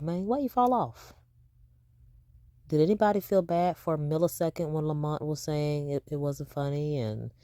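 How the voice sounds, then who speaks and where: A woman hosting reaction commentary, sassy and mocking, with a naturally deep voice that is a little hoarse from a cold. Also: dark tone, no echo. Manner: sassy, mocking, quick to laugh. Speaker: commentary host.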